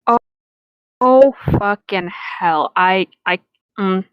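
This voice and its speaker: A woman's voice: mid-range voice, slow, clear, resonant tone, dry recording, muffled recording. A woman co-hosting a podcast, reading aloud and commenting casually in a sarcastic, exasperated way with plenty of swearing. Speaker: podcast co-host reading fan fiction aloud.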